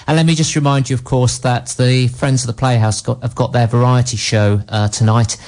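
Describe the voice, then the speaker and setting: A man's voice: medium pitch, steady tone, brisk, a little mellow. A man with a cold, co-hosting a local radio film show and chatting through cinema listings. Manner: chatty, reads out listings with light laughs. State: has a cold. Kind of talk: casual radio chat.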